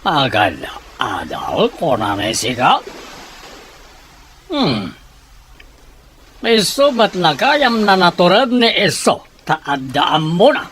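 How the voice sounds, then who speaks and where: A man's voice: fairly high pitch, wildly swooping pitch, slow, pauses often, fairly bright tone, slight background noise, heavily acted. A man playing an irascible, domineering rich boss in a radio drama, blustering and impatient. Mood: irate, scornful.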